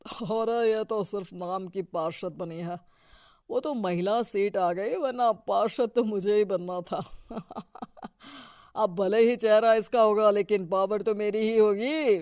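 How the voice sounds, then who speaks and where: Woman playing a bossy, crooked politician husband, clear voice. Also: mid-range voice, a little slow, a little mellow, no echo, clean studio sound, natural voice. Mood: smug. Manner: bossy, self-important. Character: domineering, corrupt middle-aged husband, a local politician.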